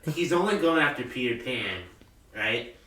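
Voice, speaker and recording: A man's voice: medium pitch, expressive, normal speed, sharp tone. A man chatting casually as a podcast co-host, recorded in a roomy space away from the mic. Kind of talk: casual chat. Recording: roomy, distant mic, faint noise.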